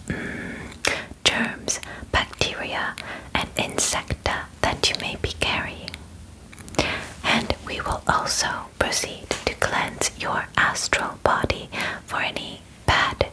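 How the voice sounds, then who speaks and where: A soothing female alien examiner, played by a woman in her twenties, whispers very close to the microphone. The delivery is slow, soft and breathy, with gentle, formal clinical phrasing in an ASMR roleplay style. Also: lightly acted, low-quality recording. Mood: calm, attentive. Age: 20s. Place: bedroom, indoors.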